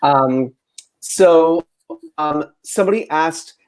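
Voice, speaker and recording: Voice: mid-range voice, takes it slowly, a little mellow, rough recording. Tentative interviewer in casual conversation. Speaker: interviewer relaying audience questions.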